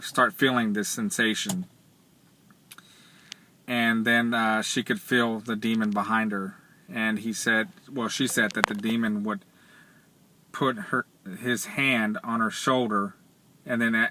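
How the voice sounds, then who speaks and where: Middle-aged man, flat and halting. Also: lowish voice. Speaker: vlogger. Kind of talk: casual spoken commentary, retelling a story. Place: inside a parked car. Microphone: camera or phone at arm's length.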